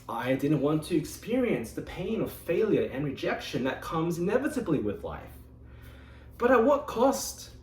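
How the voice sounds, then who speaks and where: Man, lively delivery, speaking in an echoey meeting room a distance from the mic. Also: on the high side. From Australia. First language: Mandarin Chinese. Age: 20s. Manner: expressive storytelling with dramatic pauses. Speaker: club member giving a speech. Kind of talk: prepared speech to a club.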